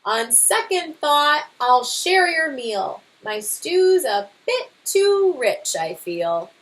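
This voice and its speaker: A woman's voice: high voice, very animated, takes it slowly, a bit bright, voice pushed up, heavily acted. A woman voicing a boastful, bumbling father bear in a storybook read-aloud, with an emphatic manner. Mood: sheepish.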